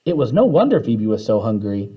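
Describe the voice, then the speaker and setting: A man reading a children's storybook aloud in an animated way, acting out the dialogue as he goes. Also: lowish voice, brisk, husky. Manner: animated, acts out the characters' dialogue.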